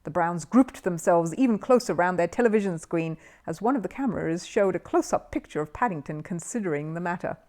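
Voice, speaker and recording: A woman reading a children's story aloud as an expressive narrator. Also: on the low side, brisk, hardly any pauses.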